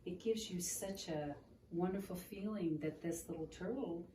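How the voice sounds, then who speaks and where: A woman reading a picture book aloud to a child and explaining it in a gentle, teacherly way. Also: fairly low pitch, moderate pace. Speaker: children's storytime reader. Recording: roomy, distant mic with room noise.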